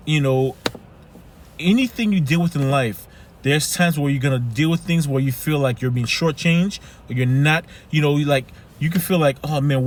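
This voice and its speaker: A man's voice: medium pitch, slight background noise. Earnest man with a Haitian accent. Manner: earnest, repeats "right?" and "keep it moving". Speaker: life coach. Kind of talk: motivational talk to camera.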